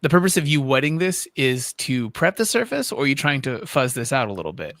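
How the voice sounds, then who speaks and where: A man's voice: mid-range voice, sing-song, a little fast, a bit bright, no room sound, clean studio sound. A man hosting a livestream in casual chat, putting conversational questions on behalf of the audience. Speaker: livestream host and moderator.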